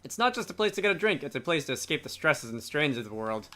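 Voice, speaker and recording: A man's voice: on the high side, sing-song, fast, sharp tone, soft background music. A man voicing a breezy, worldly Scottish wizard who is fond of drink, with a jovial, opinionated manner. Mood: jovial, boastful.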